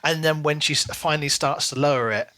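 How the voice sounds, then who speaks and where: A man's voice: fairly high pitch, brisk, pushed and bright, no echo. A man co-hosting a podcast, chatting casually and animatedly about books. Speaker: podcast co-host. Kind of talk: casual book discussion.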